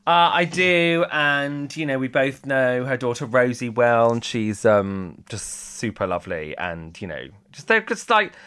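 Male co-host, bright, giggly, lively pitch, chatty restarts. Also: measured pace, hardly any pauses, smooth. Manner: giggly, animated, restarts often, bursts into high laughs. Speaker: podcast co-host. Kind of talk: casual chat.

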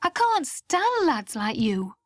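A woman voicing a sharp, scornful young woman who speaks coldly and cuttingly. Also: high-pitched, very animated, slow pace, dry recording, pitched above the performer's own voice, exaggerated voice. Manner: cold, cutting.

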